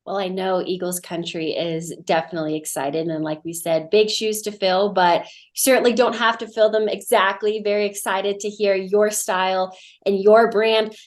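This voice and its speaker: American woman interviewer, medium pitch. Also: steady tone, moderate pace. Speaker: interviewer, team host. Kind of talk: upbeat promotional interview.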